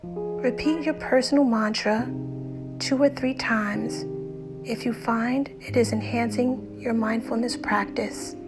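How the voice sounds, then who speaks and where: A woman narrating a guided meditation in a soothing, unhurried way with deliberate pauses and soft, breathy phrase endings. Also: a little high, fairly even intonation. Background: music.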